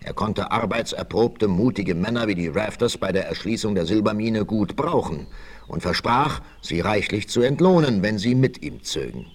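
A man's voice: lowish voice, expressive, normal speed, breathy edge. A man narrating a 1960s German radio play in a dramatic, scripted storytelling style. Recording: noisy old vinyl rip.

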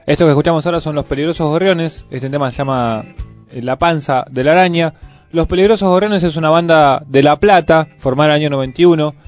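A man's voice: a little high, fast talker. A man with an Argentine accent hosting a radio music show, commenting in a conversational way. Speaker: radio host.

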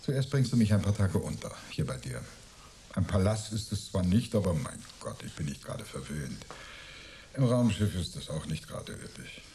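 Breezy male astronaut, lowish mellow voice, jovial, wheedling, mocking, radio play. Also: very animated, moderate pace, a little hiss or hum. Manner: chummy, mocking, theatrical. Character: cocky, jovial male astronaut, wheedling old war buddy.

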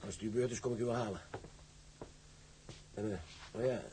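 A man's voice: fairly low pitch, steady tone, brisk, dark tone, husky, poor audio. A man playing a polite, officious exam usher in a Dutch radio drama, with formal, fussy courtesy.

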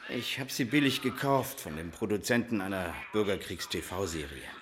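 A man's voice: on the low side, animated, moderate pace, a bit bright. An actor playing a shifty, blustering showbiz man in a German radio crime drama, slangy in his manner. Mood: cornered. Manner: slangy, swinging from indignant to ingratiating. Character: shifty, blustering male TV showbiz gun owner.

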